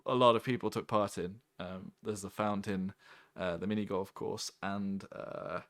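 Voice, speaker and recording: Chatty man with a low voice. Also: fairly even intonation, normal speed, dry recording, clean studio sound. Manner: chatty, laughing, many fillers. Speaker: game developer. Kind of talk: casual panel chat while playing.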